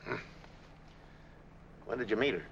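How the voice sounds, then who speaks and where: Dry blunt private eye, low-pitched, sharp forceful tone, skeptical, 1940s movie acting. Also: male voice, a little slow, creaky. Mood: businesslike, skeptical. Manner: dry, no-nonsense. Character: wry, blunt married private detective, skeptical and businesslike. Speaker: private detective. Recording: muffled, noisy 1940s film soundtrack.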